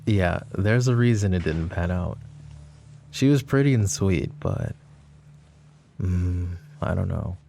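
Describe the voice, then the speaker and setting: A man voicing a smug, flirtatious mafia boss who teases and taunts the woman questioning him, in soft-spoken roleplay ASMR. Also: sits low, lively intonation, slow, vocal fry, long pauses, slight background noise, exaggerated voice. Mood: smug teasing. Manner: teasing, taunting, calls her pet names.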